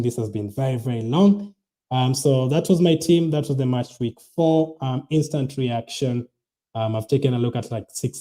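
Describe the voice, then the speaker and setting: A man's voice: medium pitch, warm tone. A young man hosting a casual fantasy football stream, rambling with many ums and restarts, tired late at night. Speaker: fantasy football channel host. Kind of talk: casual livestream chat reading viewer comments.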